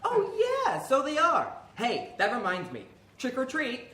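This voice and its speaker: Excitable kid trick or treater, very animated, radio play, echoey room. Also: female voice, high-pitched, a little slow, heavily acted. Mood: excited. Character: cheeky, excitable young girl trick-or-treater. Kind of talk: comic horror radio acting. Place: small live venue with audience. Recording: roomy live stage recording, background noise.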